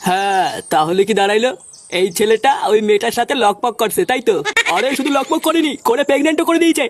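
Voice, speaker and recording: A performer voicing a bossy, blustering older village headman in a comedy cartoon, scolding and swearing in rural Bengali dialect, with a pitch-shifted effect. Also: fast, slight background noise. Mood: indignant. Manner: pompous, scolding, foul-mouthed.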